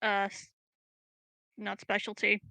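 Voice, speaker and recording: A woman's voice: mid-range voice, completely flat delivery, takes it slowly, bright, forceful tone, dry recording. A woman playing in a tabletop roleplaying game, chatting casually, giggly and thinking aloud. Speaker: player.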